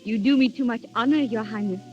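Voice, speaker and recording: A woman's voice: a little high, fairly quick, soft background music, close to an everyday voice. An actress playing a demure, dreamy young noblewoman in a 1930s radio drama. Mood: dreamy, enchanted. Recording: muffled, sizzling 1930s radio recording.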